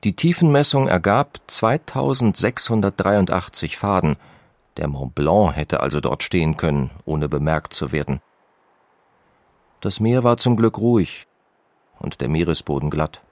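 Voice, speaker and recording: A man's voice: low voice, unhurried, no echo. A man playing a resolute expedition leader, giving a composed, formal, old-fashioned account. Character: Karl Siemens, resolute expedition leader recounting at sea.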